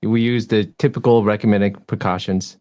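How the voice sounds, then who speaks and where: A man's voice: fairly low pitch, steady tone, moderate pace, a little mellow. Thoughtful man from Taiwan, casual panel chat. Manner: thoughtful, hesitant, self-correcting. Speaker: urology clinician guest. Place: studio.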